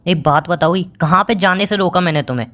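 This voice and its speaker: A man's voice: high voice, fast talker, a bit bright. A man plays a bitter young boyfriend in a romantic phone-call drama. He talks in casual, colloquial words, with an accusing, sarcastic and distrustful manner.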